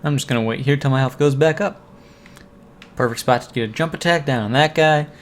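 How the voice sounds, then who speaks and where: A man commentating his own game playthrough, relaxed and chatty, a bit hoarse from losing his voice. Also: medium pitch, a little fast, a little hiss or hum. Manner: relaxed, chatty, talks to viewers. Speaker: gaming commentator. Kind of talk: let's play commentary.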